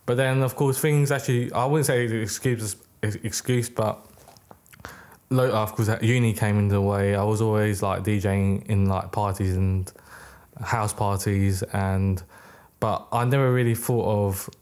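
A young man born in the UK, a record label founder talking casually as a podcast guest, rambling with many restarts, on a boom mic in a small studio. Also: fairly even intonation, a little slow, a little mellow. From United Kingdom. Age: young adult. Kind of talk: casual interview. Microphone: boom mic on arm, headphones.

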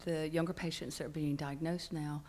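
A woman's voice: deep voice, flat delivery, brisk, darkish tone. A middle-aged woman speaking as a patient on a conference panel, earnest and hesitant with frequent ums, into a stand microphone. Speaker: patient panelist and support group leader. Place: conference hall stage panel.

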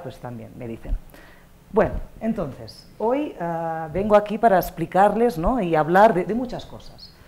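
A middle-aged woman, a native Catalan speaker with Panamanian-influenced Spanish, giving an animated, conversational academic lecture with frequent check-in tags. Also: deep voice. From Catalonia, Spain. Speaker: guest lecturer, academic anthropologist. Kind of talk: informal spoken academic lecture. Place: lecture hall stage. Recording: clear background noise in auditorium.

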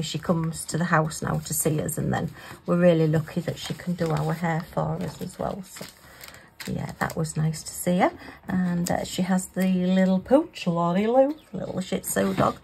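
A middle-aged woman talking casually to herself while crafting, her voice going hoarse. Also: lowish voice, darkish tone, slight background noise, poor audio. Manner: chatty, talks to herself. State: losing her voice. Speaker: craft vlogger.

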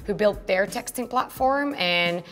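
A woman's voice: mid-range voice, animated, a little slow, faint music behind. A woman in her thirties, originally from Finland, talking through her company as a guest in an interview, fluent and earnest, in an office. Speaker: co-founder and guest. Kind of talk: business interview conversation. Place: open-plan office, indoors.

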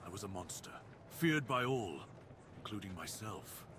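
A man playing a brooding, cursed dire wolf master in an ASMR roleplay, speaking in a solemn, deliberate, theatrical way with formal wording. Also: lowish voice, big pitch swings, background noise. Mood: haunted, grave. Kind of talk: ASMR roleplay, dramatic monologue.

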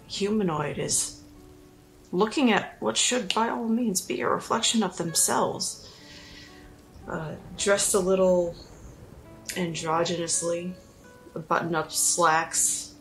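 Slow, creaky-voiced woman describing a character. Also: fairly low pitch, faint music behind, rough recording. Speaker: player in a role-playing podcast. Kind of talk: improvised character description in a tabletop game.

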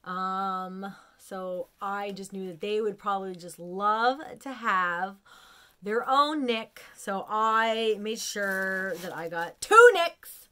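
A woman in her forties with an American accent, chatting casually and excitedly to camera in a room at home. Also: medium pitch, takes it slowly. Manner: excitable, gushing. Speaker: vlogger showing a haul of collectibles. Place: small room at home, indoors.

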